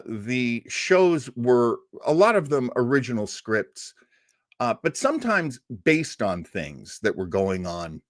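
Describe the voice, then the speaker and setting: Animated, rambling man hosting informally. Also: medium pitch, no room sound, clean studio sound. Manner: rambling, enthusiastic storyteller. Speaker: host of an old radio and film show. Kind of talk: informal, unscripted talk on film history.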